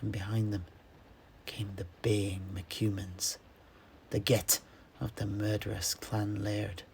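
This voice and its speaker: A man narrating a fantasy story dramatically, in measured, ornate phrases. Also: fairly low pitch, flat delivery, creaky, a little mellow, a little hiss or hum.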